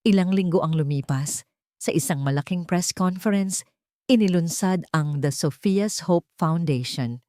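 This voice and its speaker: A woman's voice: fairly low pitch, measured pace, mellow, no room sound, studio quality. A woman reading scripted, dramatic story narration.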